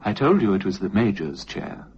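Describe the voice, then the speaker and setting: A man's voice: low voice, big pitch swings, normal speed, a little mellow, breathy edge, exaggerated voice. A man reading as a composed little girl, lo-fi. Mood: matter-of-fact. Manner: composed, plain-spoken. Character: calm, matter-of-fact little girl of seven or eight. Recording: dull lo-fi recording with faint noise.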